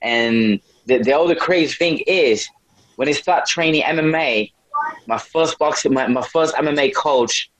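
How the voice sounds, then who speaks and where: A young man from a small town in Brazil with a Brazilian accent, answering interview questions casually in halting non-native English, often repeating words. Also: fairly high pitch, fairly bright tone, dry recording, low-quality recording. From Brazil, small town in the north. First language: Portuguese. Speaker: MMA fighter, podcast guest.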